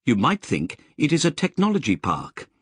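A man voicing a precise, curious artificial intelligence, speaking crisply and formally. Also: mid-range voice, wildly swooping pitch, normal speed, dry recording, studio quality, voice pushed up. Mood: eager.

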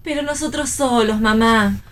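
Actress, bossy adult daughter, radio play, higher-pitched female voice. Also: moderate pace, fairly bright tone, slight background noise, close to an everyday voice. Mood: impatient. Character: Ula, bossy grown daughter of Flora. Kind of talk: staged reading of a radio play.

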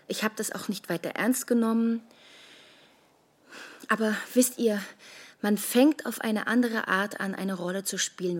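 A woman's voice: medium pitch, breathy edge, dry recording. An actress in a German radio play playing a troubled, argumentative woman who reasons insistently and emphatically. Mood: apologetic, strained. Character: troubled, argumentative woman worn down by doctor visits.

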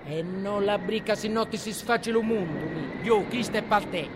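An actor playing Aeolus, the god of the winds, speaking with a Gallurese Sardinian accent in a solemn, lilting way. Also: male voice, high voice, moderate pace, noisy background, lightly acted. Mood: warning. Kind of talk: audiobook drama acting.

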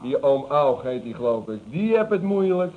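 A man's voice: medium pitch, very animated, normal speed, natural voice. An actor playing a cheeky young nephew who needles his older uncle, in old radio comedy style. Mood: teasing. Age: young adult. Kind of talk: 1970s Dutch radio comedy acting. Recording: thin, phone-like, noisy old radio recording.

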